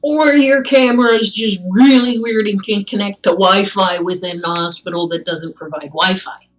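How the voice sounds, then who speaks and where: A woman's voice: mid-range voice, normal speed, a bit bright, faint music behind, rough recording. A woman from Canada giving casual, wry and sarcastic commentary as she streams a game.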